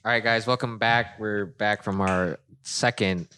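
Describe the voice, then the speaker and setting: A man's voice: fairly low pitch, fairly even intonation, unhurried, pushed and bright, a little hiss or hum. A man in his twenties hosting a casual podcast, asking questions and counting the group into breaks. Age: 20s. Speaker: podcast host.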